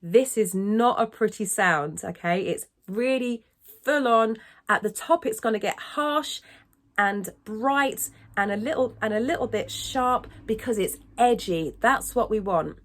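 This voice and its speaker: A woman singing teacher giving an upbeat, encouraging lesson to camera, now and then dipping into vocal fry. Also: on the high side, measured pace, a bit bright. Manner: dips into vocal fry when speaking. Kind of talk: instructional talk to camera.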